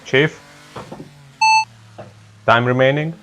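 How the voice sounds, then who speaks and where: A man with a thick Hungarian accent hosts a casual sim-racing demo. He snaps out short, loud voice commands at an ordinary pitch for a man. Faint engine noise hums beneath him. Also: steady tone, drawn-out words, highs cut off. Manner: loud, clipped voice commands to the app. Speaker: sim racing video host.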